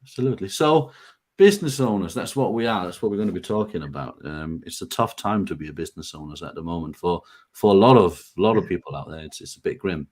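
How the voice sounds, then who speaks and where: A very deep-voiced man hosts a live stream from a video call. His voice is a little croaky after being unwell, and he talks in a friendly, hesitant way with plenty of ums and uhs. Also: expressive, quick pace. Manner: friendly, hesitant with many fillers. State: slightly croaky from illness. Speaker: host.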